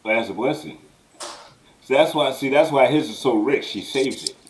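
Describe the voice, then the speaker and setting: A man's voice: medium pitch, lively intonation, normal speed, slight background noise, low-quality recording. A man hosting a podcast in casual chat, laughing and joking. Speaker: podcast host.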